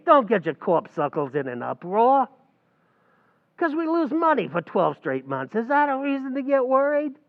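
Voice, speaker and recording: A man acting a scheming, fast-talking tavern manager with a gravelly, streetwise voice and mangled grammar, in an old-time radio comedy recreation. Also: high voice, big-room sound, phone-quality sound, full character voice. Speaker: stage actor in a radio play recreation.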